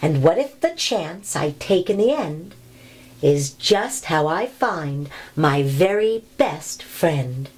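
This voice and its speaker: A woman's voice: low-pitched, lively intonation, takes it slowly, a little mellow, husky, full character voice. A woman voicing a hopeful, upbeat young boy in a storybook read-aloud, asking rhyming questions with confidence.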